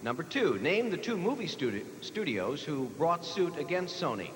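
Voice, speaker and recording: An American man reads questions as the host of a live televised quiz. His voice sits a little high. It rings around an echoey hall on a noisy old broadcast. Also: big pitch swings, normal speed. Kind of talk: live quiz show hosting, reading questions.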